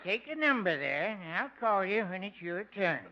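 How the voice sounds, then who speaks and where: An actor playing a slow, dim-witted old clerk in a 1950 radio comedy, with a drawling, literal-minded manner. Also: male voice, sits high, big pitch swings. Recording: dull old radio recording with hiss.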